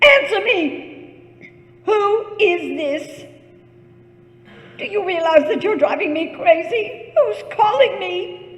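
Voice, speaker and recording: A very high, shrill voice rings out with long reverb. a nervous, self-pitying bedridden woman in a radio thriller, haughty and fretful, swinging widely in pitch. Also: animated, slow pace, pauses often, exaggerated voice. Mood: frantic, shouting. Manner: haughty, fretful, repeating words in panic. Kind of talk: old-time radio thriller acting. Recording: echoey hall with long reverb.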